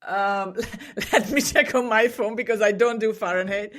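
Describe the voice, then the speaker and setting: A woman's voice: medium pitch, fairly even intonation, normal speed. A woman with a Hungarian accent talks as a guest on a podcast. She is a native Hungarian speaker, and she is chatty and cheerful, often breaking into laughs between her answers. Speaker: podcast guest, booking agency co-owner. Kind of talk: casual interview chat. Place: home in Cyprus.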